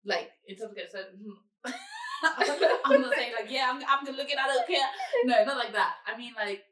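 A woman's voice: high voice, huge pitch swings, moderate pace, fairly bright tone. A young woman giving rambling, jokey answers in a casual chat with a friend. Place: echoey room. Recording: echoey room, distant from mic.

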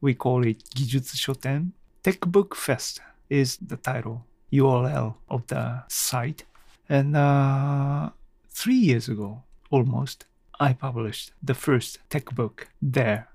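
Slow-paced Japanese-accented male podcaster, dry sound. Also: mid-range voice, a little mellow, no echo. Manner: halting, thinking aloud. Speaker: podcast host. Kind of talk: casual solo podcast talk.

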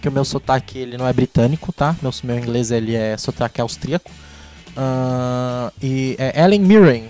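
A man co-hosting a podcast, chatting casually and playfully about TV series with jokes and animated asides. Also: mid-range voice, normal speed, soft background music. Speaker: podcast co-host.

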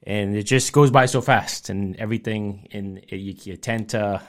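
A man's voice: fairly low pitch, steady tone, moderate pace. A man in his fifties chatting casually as an interviewer, in an encouraging, reflective way. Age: 50s. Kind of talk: casual chat.

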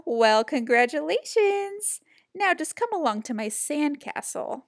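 A small, bossy storybook sand creature with a cackling, high voice, as a woman reads her out. She talks slowly and commandingly, recorded close in a dry room. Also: sing-song, no room sound, exaggerated voice.

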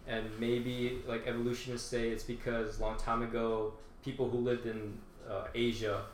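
A man's voice: mid-range voice, little pitch movement, normal speed, a little hiss or hum. Young man, casual explainer, echoey room. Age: young adult. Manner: explaining, filler-heavy. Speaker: podcast co-host. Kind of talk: casual group chat. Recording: echoey room with faint ambience.

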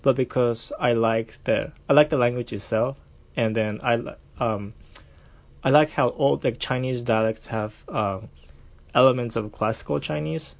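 A man's voice: mid-range voice, normal speed, creaky, muffled recording. A young man from Japan with a Japanese accent, chatting as a podcast guest, hesitant with many fillers and restarts. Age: young adult. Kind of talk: casual interview chat.

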